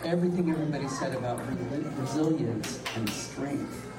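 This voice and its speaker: A woman who directs a school program, speaking slowly and admiringly at an event. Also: a bass voice, distant mic, heavy background noise. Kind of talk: public speech. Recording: roomy, from afar, loud music behind.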